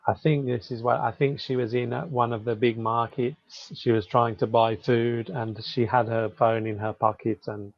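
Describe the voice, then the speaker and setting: A man from England with a Birmingham accent and a deep voice, chatting casually as a conversation tutor. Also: steady tone, moderate pace, vocal fry, few pauses, no echo. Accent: Birmingham English. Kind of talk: casual chat. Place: online video call. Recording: dull lo-fi call audio.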